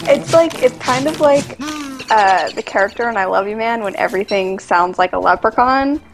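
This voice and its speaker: Woman in her twenties, giggly, self-deprecating, animated pitch, music in the background. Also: unhurried. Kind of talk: casual stream chat.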